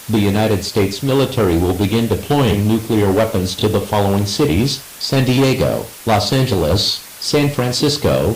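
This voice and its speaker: Emergency alert announcer, male actor, impersonal and formal, low register, echoey recording for an outbreak drama. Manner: formal, measured. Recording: echoey, hissy emergency broadcast.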